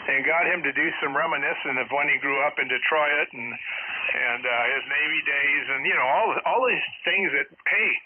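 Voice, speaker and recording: A man's voice: fairly high pitch, normal speed, breathy edge. An American man, middle-aged or older, talking in a plain-spoken, colloquial way over a ham radio link. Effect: radio transmission. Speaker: amateur radio operator, caller. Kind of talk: casual ham radio chat. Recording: narrow, noisy, crackly ham radio signal.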